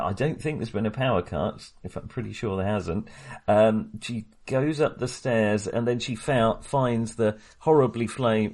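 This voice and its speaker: Guy on a film podcast, fairly deep voice, chats casually, kinda halting and hesitant. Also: darkish tone. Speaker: podcast co-host.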